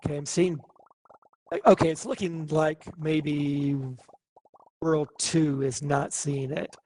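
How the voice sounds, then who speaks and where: A man teaching a live online coding class, thinking aloud and explaining in a relaxed way. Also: fairly high pitch, slow.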